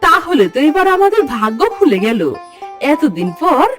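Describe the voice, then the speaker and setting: A woman's voice: high-pitched, big pitch swings, moderate pace, soft background music. A woman playing a respectful, hopeful farmer's wife in a cartoon. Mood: excited. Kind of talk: cartoon dialogue.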